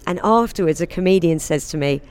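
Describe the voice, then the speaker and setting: A woman in casual interview chat, animated and laughing often, acting out quotes in high voices. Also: a little fast. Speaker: guest, singer and comedian.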